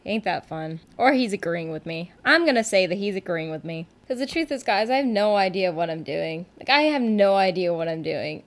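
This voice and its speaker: A woman hosting her own solo podcast from her couch at home, talking in a chatty, rambling, candid way and often poking fun at herself. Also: mid-range voice. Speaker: podcast host and rock musician. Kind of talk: casual solo podcast monologue.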